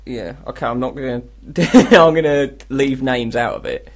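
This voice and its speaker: A man's voice: mid-range voice, lively intonation, moderate pace. A British man co-hosting a radio comedy show, chatting casually in a rambling, irreverent, sardonic way. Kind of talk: casual comic chat.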